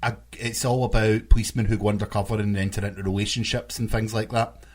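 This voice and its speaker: A man's voice: lowish voice, flat delivery, fast. A man co-hosting a podcast in casual, dryly joking conversation. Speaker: podcast co-host.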